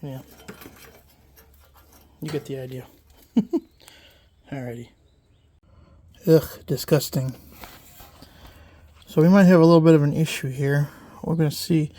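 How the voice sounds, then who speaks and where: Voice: medium pitch, fairly even intonation, slow pace, long pauses, mellow. The host of a repair channel, stuffed up from a lingering cold, casually walks through a mower engine repair in a workshop, rambling and correcting themselves as they go. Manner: rambling, self-correcting, many fillers. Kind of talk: casual hands-on repair walkthrough.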